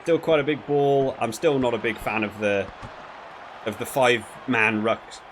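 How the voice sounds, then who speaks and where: A man giving casual, rambling commentary while playing a video game, often halting and repeating his words. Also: mid-range voice, fairly even intonation, slight background noise. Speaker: video game reviewer and player.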